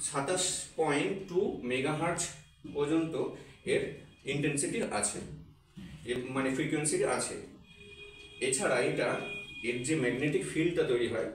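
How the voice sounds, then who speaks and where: A man's voice: a little high, moderate pace, pauses often, a little hiss or hum. A man giving an informal physiotherapy tutorial, explaining step by step and often repeating himself. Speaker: physiotherapy instructor presenting a tutorial. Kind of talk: informal demonstration lesson to camera. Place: echoey indoor room. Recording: very echoey, distant microphone, music behind. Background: music.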